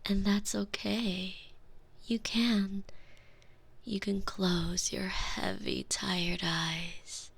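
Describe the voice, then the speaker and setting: A woman whispering slow, commanding hypnosis ASMR with a soft, breathy voice. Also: mid-range voice, flat delivery.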